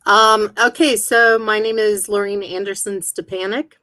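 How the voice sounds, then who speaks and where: A woman introducing herself as a game show contestant in a measured, self-deprecating way over a video call. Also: mid-range voice, fairly even intonation, pushed and bright, no room sound.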